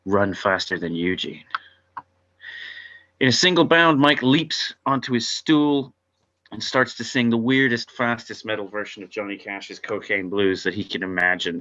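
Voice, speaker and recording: A man's voice: on the low side, sharp tone. A man reading out stage directions as narrator at a script table read, on a lo-fi recording.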